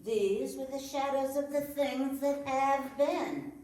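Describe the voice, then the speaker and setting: A woman playing a stern, solemn spirit in a radio play, speaking with deliberate command. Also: sits high, darkish tone. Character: solemn, stern ghostly spirit showing shadows of the past. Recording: very echoey room, far from the mic.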